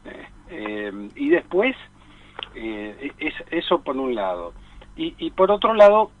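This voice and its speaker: A man in a reflective radio discussion, speaking haltingly, repeating himself and often adding tag questions. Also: medium pitch, very animated, no room sound, phone-quality sound. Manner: halting, repetitive, peppered with rhetorical tag questions. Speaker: radio talk co-host discussing psychoanalysis.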